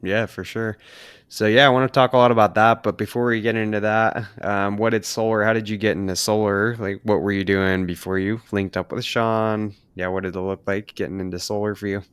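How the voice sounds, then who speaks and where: A man's voice: lowish voice, steady tone, a little fast, fairly bright tone, smooth, no room sound, clean studio sound. A man hosting a podcast, asking long, rambling questions packed with fillers. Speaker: podcast host. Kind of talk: casual podcast interview.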